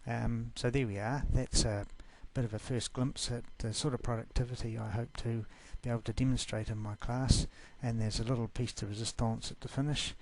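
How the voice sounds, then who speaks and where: A man from New Zealand with a New Zealand accent, giving an easygoing, informal screencast walkthrough of a software class. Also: medium pitch, steady tone, brisk, hardly any pauses, a little hiss or hum, rough recording.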